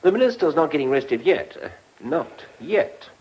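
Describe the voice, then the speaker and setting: An actor playing a pompous, dithering government official, blustering and groping for words in a radio drama. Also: male voice, a little high, sing-song, normal speed, darkish tone, rough recording. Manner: blustering, hesitant, searching for words.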